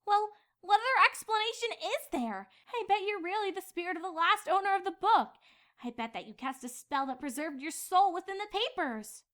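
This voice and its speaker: Casting: woman for earnest young unicorn mare in a pony cartoon, bright tone, very animated, quick to bristle. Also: very high voice, full character voice. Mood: indignant. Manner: insistent, bristling. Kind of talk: cartoon fan-fiction voice acting.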